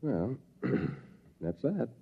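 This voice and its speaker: An actor playing a grave, earnest professor with a measured, hesitant manner, in 1950s radio drama style. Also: male voice, mid-range voice, sing-song, creaky, a little hiss or hum, exaggerated voice. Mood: weary, uneasy. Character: earnest, grave male professor standing up to danger. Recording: muffled 1950s radio recording.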